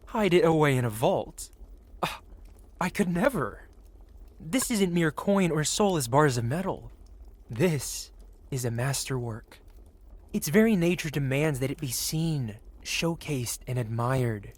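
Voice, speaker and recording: A man's voice: a little high, lively intonation, a little slow. A man playing a possessive, wealthy dragon merchant who speaks in formal, flowery, courteous phrases in an intimate fantasy ASMR roleplay. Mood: passionate, fascinated. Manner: formal, flowery, courteous speech addressed to one listener.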